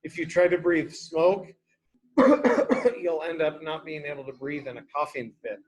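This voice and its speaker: A man's voice: fairly high pitch, measured pace, poor audio. A man reading a picture book aloud to young children, explaining in simple, repeated words and asking questions as a teacher does.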